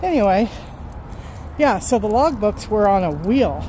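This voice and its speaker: A middle-aged woman truck driver chatting casually on a vlog while walking her dogs, rambling and unhurried, recorded on a phone outdoors. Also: mid-range voice, big pitch swings, dark tone. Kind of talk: casual walk-and-talk vlog. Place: outdoors by a road and parking lot. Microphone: phone held while walking. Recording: outdoor phone audio with traffic noise. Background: passing cars and outdoor ambience.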